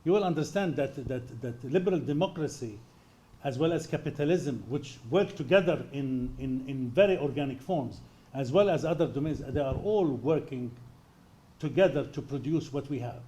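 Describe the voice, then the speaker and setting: A man's voice: mid-range voice, normal speed, warm tone. A man, the guest lecturer, answering an audience question in an emphatic, argumentative way.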